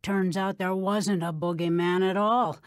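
A woman's voice: medium pitch, fairly even intonation, measured pace, no room sound, clean studio sound, lightly acted. A woman playing a playful, reassuring old grandmother in a radio drama, folksy and soothing. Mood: kindly, playful.

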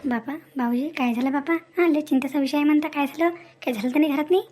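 An anxious village mother from a cartoon comedy acted by a woman. Her high voice is kept down, urgent and scolding, with a rural Maharashtrian accent. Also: fast, loud music behind the voice, lightly acted. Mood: worried, hushed. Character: anxious village mother hushing up a family secret. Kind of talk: Marathi comedy cartoon dialogue.

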